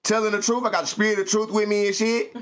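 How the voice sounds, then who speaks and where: A man's voice: sits high, little pitch movement, fast talker, fairly bright tone, smooth, pitched above the performer's own voice. A man voicing a stern father grilling his young son with pointed questions. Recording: muffled lo-fi recording.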